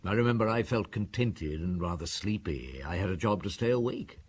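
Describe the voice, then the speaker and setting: Middle-aged police inspector, calm, dryly amused, deep, husky, radio drama. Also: male voice, moderate pace. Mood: narrating. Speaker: Inspector Maigret. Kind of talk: radio drama acting and narration.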